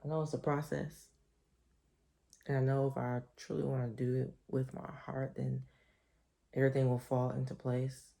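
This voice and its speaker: A sleepy woman with a deep voice, chatting to her camera at home in a rambling, reflective way. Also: flat delivery, normal speed, vocal fry, long pauses. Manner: reflective, rambling, soft-spoken. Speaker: vlogger. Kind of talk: casual chat to camera. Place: top-floor apartment at home. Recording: echoey room.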